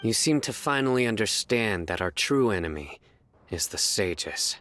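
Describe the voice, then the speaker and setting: A man playing a stern, composed guardian in a fantasy game, speaking in a grave, measured way. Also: lowish voice, lively intonation, fairly bright tone, a little hiss or hum. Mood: grave, firm. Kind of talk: dramatic fantasy game dialogue.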